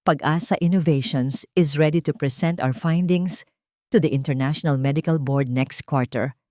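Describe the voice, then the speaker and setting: A woman narrator as composed Filipino female executive, firm formal delivery, story drama, slightly low, dry recording. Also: normal speed, a little mellow, dry recording, lightly acted. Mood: confident, formal. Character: confident, composed young female executive, formerly a wronged wife.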